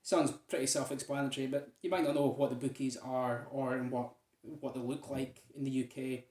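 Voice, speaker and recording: A man's voice: mid-range voice, steady tone, fairly quick, a little mellow, reverberant. A Scottish man from Aberdeen talking casually to his viewers, rambling with lots of fillers. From Aberdeen, Scotland. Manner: chatty, rambling, many fillers. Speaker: vlogger. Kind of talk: casual monologue.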